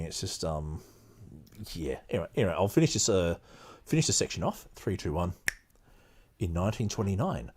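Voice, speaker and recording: A man hosting a podcast, reading aloud and giving casual commentary in a halting, emphatic way. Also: deep voice, a little mellow, slightly rough. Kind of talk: casual commentary mixed with read-aloud passages.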